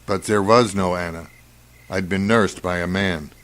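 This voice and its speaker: A brooding space-crew doctor acted by a man in a radio play, with a slightly low voice. He speaks deliberately and ominously, as someone who suspects a trap. The style is old-time dramatic science fiction. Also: fairly even intonation, fairly bright tone. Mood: haunted. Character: brooding, suspicious male doctor and space crewman. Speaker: actor in amateur radio play.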